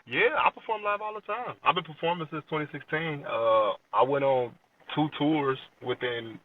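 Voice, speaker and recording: A man's voice: on the high side, normal speed. American guy late 20s, slangy podcast guest chat, sounds muffled and off the mic. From Chicago, USA. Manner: informal, slangy, frequent check-in tags. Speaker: rap artist, interview guest. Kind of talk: casual podcast interview chat. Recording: dull lo-fi sound, distant from mic.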